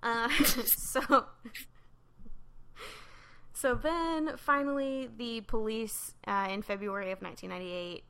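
A woman's voice: a little high, steady tone, slow pace, sharp tone, clear, resonant tone. A woman co-hosting a podcast, telling a true crime story in a loose, chatty way full of "um" and "like". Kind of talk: casual storytelling chat.

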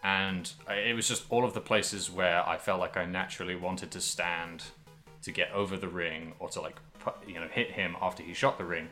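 A man co-hosting a podcast, casually talking through video games in a halting, thinking-aloud way. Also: low voice, steady tone, pushed and bright, faint music behind. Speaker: podcast host. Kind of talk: casual video game discussion.

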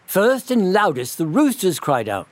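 Casting: male narrator for children's classics, animated and expressive, taking his time over each phrase. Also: high voice, very noisy. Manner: expressive, measured storytelling. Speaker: storyteller. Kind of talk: scripted children's storybook narration.

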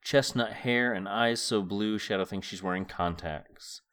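Male podcast host, middle-aged, slightly low pitch. Book narration and informal, reflective solo commentary. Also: measured pace, a bit bright, smooth. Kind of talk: book read-aloud and casual solo commentary.

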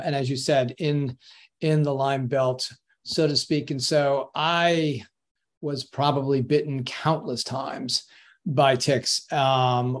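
A middle-aged man from Long Island, a podcast guest, telling his story in a relaxed way with many ums and restarts. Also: medium pitch. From Long Island, New York. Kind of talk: casual interview storytelling.

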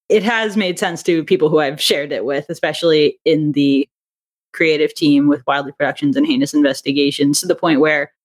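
A woman in a casual interview chat, talking in an animated way and acting out bits of quoted thought as she explains her creative work. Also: low-pitched. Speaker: interview guest, audio drama writer and director.

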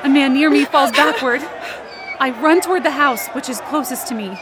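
A woman's voice: high voice, moderate pace, lightly acted. A woman playing an anxious, desperate woman who narrates her own ordeal urgently in an audio drama. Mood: alarmed, urgent. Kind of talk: first-person present-tense audio drama narration. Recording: noisy outdoor crowd sound effects behind.

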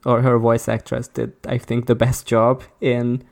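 A man's voice: mid-range voice, completely flat delivery, measured pace, creaky, darkish tone. A young man co-hosting a podcast, talking hesitantly with many "like"s and restarts. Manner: hesitant, lots of fillers and restarts. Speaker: podcast co-host. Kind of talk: casual chat.

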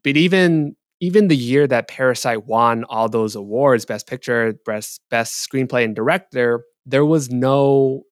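A man's voice: medium pitch, measured pace, few pauses, no echo. A man in a mid-range voice, joining a podcast as a guest. He works through his ideas thoughtfully, hedging and restarting as he goes. The recording is dry and close. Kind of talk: casual podcast discussion.

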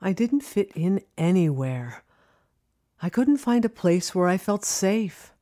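A woman's voice: mid-range voice, very animated, mellow. An actress playing a reflective, lonely woman narrating memories of her childhood in an audio drama. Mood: wistful. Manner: wistful, deliberate. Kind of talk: scripted first-person dramatic narration.

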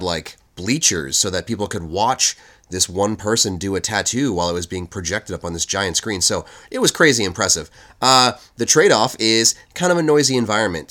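A man hosting an interview podcast, talking casually with many fillers and restarts. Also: on the low side. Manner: relaxed, filler-heavy, conversational. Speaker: podcast host.

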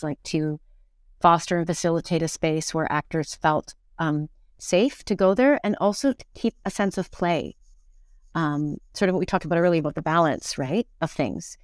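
Middle aged woman chatting in an interview, smooth clear voice, dry sound, kinda thinking out loud. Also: low voice, no room sound. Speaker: guest, actor, director and acting teacher. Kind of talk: casual reflective interview conversation.

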